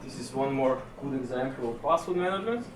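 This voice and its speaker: A man from Serbia gives a technical conference presentation in a relaxed, chatty way, pausing often on fillers. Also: mid-range voice. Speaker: conference speaker. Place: conference session room. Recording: echoey room, distant mic, room noise.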